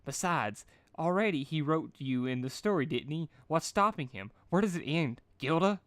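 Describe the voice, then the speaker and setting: A man's voice: a little high, expressive, moderate pace, fairly bright tone, dry recording, pitched above the performer's own voice. A man voicing a hyperactive, fretful female cartoon party pony. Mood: anxious.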